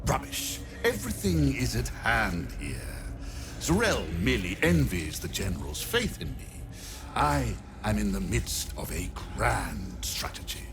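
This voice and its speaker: A gravelly, haughty necromancer. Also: male voice, lowish voice, very animated, background noise. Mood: condescending. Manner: haughty, disdainful, slow and deliberate. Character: arrogant, cold male necromancer, older. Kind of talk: video game cutscene voice acting.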